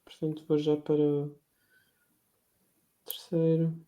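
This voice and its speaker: A man's voice: on the high side, monotone, takes it slowly, mellow, dull audio. A young man with a European Portuguese accent, a quiz contestant who chats, stumbles and restarts while trying to recall names. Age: young adult. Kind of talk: casual quiz chat among friends.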